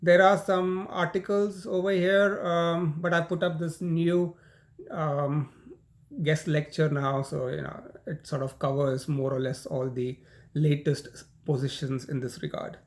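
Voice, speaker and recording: A man with an Indian accent teaching a university law class, his voice sitting a little higher than most men's. He talks in a loose, chatty way, full of "you know" and false starts, as he walks students through the material. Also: little pitch movement, a little slow. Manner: conversational, frequent "you know" and "um", repeats words. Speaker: law lecturer. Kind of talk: recorded university lecture.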